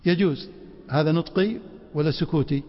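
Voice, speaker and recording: Brief: male religious teacher, raised gravelly voice, lo-fi mosque recording with long echo. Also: a little high, normal speed. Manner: quizzes the class with rhetorical prompts. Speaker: Islamic law teacher. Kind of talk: classroom lecture with questions to students. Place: mosque study circle. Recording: dull lo-fi recording in a reverberant hall.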